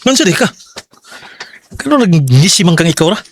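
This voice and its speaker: An actor with a Cebuano accent playing a teasing, nosy buddy who urges his friend on in a radio drama, in an animated manner. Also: sits high, normal speed, breathy edge, no echo, exaggerated voice.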